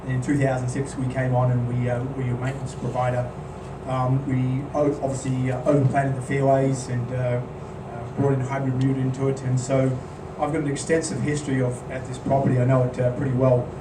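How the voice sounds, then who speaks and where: A man's voice: mid-range voice, fairly quick, hardly any pauses. A man presenting a project at a club meeting, speaking plainly and steadily with many "um"s. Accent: non-local accent. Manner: plain, steady, filler-heavy delivery. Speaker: maintenance contractor presenting. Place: meeting room at a golf club. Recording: roomy, distant mic, background hum.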